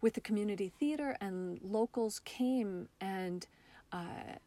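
A woman being interviewed as a theatre artist, speaking in a reflective, expressive, conversational storytelling way. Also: mid-range voice, measured pace.

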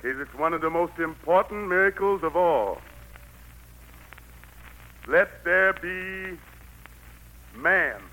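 A man's voice: on the high side, expressive, slow, long pauses, bright, forceful tone, exaggerated voice. An actor playing God as a genial, fatherly country patriarch with a Southern US accent and a folksy, commanding manner in an old radio play. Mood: solemn, instructing. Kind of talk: 1940s radio play acting. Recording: noisy old radio recording.

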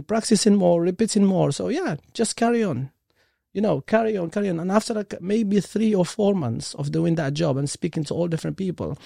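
Higher-voiced Moroccan man, slightly creaky. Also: mellow, dry recording, studio quality. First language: Moroccan Arabic. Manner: earnest, frequent "like" and "you know". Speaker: interview guest, performance coach. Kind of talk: casual personal storytelling in an interview.

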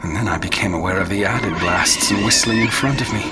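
An actor playing a haunted, traumatized scholar recounting cosmic horrors in an intense, literary radio drama narration. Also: male voice, fairly low pitch, a little fast, heavy background noise, natural voice. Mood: frantic horror. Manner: intense, literary, theatrical delivery with brooding hushed passages. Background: music.

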